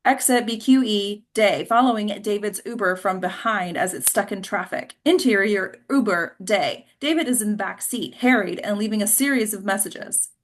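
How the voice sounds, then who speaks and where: A woman's voice: medium pitch, moderate pace, hardly any pauses. A woman hosting a table read and narrating a screenplay's stage directions. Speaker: host and stage directions reader.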